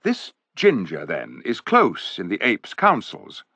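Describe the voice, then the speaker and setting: A man's voice: fairly low pitch, wildly swooping pitch, takes it slowly, vocal fry, pushed and bright, dry recording, heavily acted. A man voicing a noble young king who speaks in a formal, old-fashioned way. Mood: grave. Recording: dull, dry recording.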